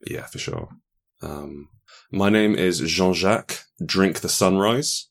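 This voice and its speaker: A man's voice: sits low, takes it slowly, gravelly. A man chatting casually and jokily during a tabletop game session, often restarting his words. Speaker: guest game designer.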